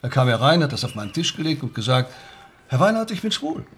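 Blustering football boss, lively intonation. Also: male voice, medium pitch, quick pace, husky. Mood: resigned, grudging. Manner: blustering, emphatic. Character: defensive, blustering male football club boss, gruff authority. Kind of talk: dramatic film dialogue.

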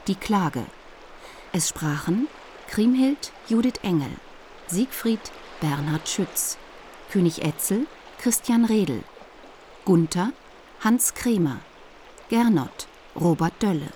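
Calm woman announcer reading credits, slow and low. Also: slight background noise.

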